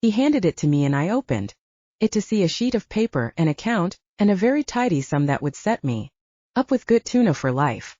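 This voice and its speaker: A woman's voice: on the low side, big pitch swings, brisk, dark tone, no echo. A woman narrating a first-person audiobook in a hesitant, self-conscious voice. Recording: slightly dull lo-fi audio.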